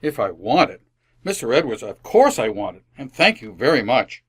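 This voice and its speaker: A man's voice: mid-range voice, very animated, moderate pace, a bit bright, slightly rough. Man acting earnest, flustered old-time radio dad. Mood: eager, flustered. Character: flustered, earnest family man, wistful and eager to please. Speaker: amateur radio actor. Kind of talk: re-created 1950s radio sitcom acting.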